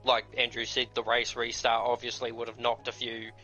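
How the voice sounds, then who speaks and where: A laid-back male co-commentator who talks bluntly and swears casually. His voice is bright and forceful, coming through a slightly dull call with faint music underneath. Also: medium pitch, monotone, normal speed.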